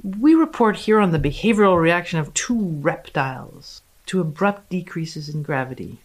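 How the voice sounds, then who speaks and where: A woman's voice: on the low side, expressive, normal speed, poor audio. A woman giving a dramatic reading of a scientific paper as a guest reader.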